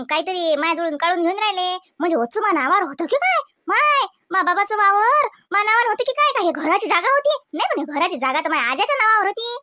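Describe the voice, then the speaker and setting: A very shrill, piercingly high cartoon village aunt, with a rural Vidarbha accent. She nags and grumbles with suspicious, pointed questions. The sound is dry and close. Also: pushed and bright, no echo, exaggerated voice. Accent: rural Maharashtra, Vidarbha. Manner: nagging, rhetorical questions. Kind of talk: comedy cartoon dialogue.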